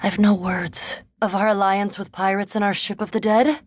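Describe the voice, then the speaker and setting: An actress playing a cool, scholarly, curious vampire animancer in a fantasy video game, speaking formally and precisely with a dry, reserved manner. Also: female voice, mid-range voice, level tone, normal speed, dry recording, close to an everyday voice. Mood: reflective.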